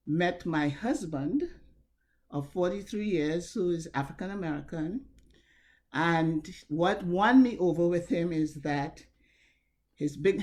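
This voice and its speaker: A woman in her seventies with a Trinidadian accent, telling a personal story in a measured, reflective way with wry asides over a video call. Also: low voice, darkish tone.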